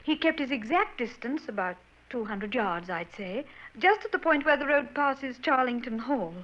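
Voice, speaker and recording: A woman's voice: sits high, lively intonation, normal speed, a bit bright. A nervous young Victorian lady. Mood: worried. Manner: hesitant, breathless and genteel. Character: anxious young Victorian woman, polite and earnest. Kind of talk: 1940s radio acting. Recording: dull old radio broadcast.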